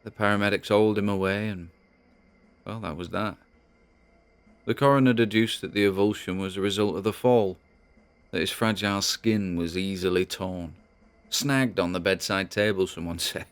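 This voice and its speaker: A British man playing a troubled grandson who records a halting, confiding audio diary in an audio drama. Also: sits low, steady tone, smooth, natural voice. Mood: shaken, sceptical.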